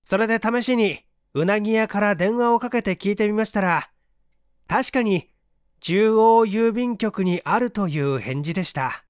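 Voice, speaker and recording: A man voicing an earnest, quick-witted young male assistant who speaks urgently and politely in a dramatic story reading. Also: high-pitched, clear, resonant tone, dry recording, studio quality. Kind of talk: dramatic audiobook character reading.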